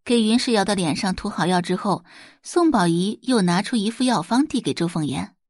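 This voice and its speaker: A woman narrating a Chinese web-novel audio drama in an expressive storytelling manner. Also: mid-range voice, a little fast, dry recording, clean studio sound.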